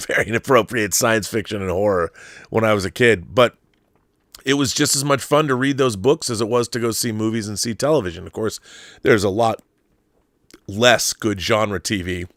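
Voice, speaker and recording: A middle-aged man with a fairly low voice chats as the host of a livestream. He speaks in an emphatic, conversational way, doubling back and restarting phrases as he works out his thoughts. The sound is dry, with little room in it. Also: a bit bright, no echo. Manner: halting, repeats words and rephrases as he thinks aloud. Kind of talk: casual live chat answering viewer messages.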